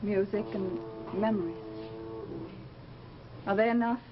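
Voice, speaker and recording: An actress playing a lonely, yearning widow in a vintage radio horror drama, speaking graciously with wistful, dramatic intensity. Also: female voice, medium pitch, fairly even intonation, a little slow, over music, natural voice. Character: lonely, yearning widow of a musician, haunted and eerie. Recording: noisy, dull vintage radio recording.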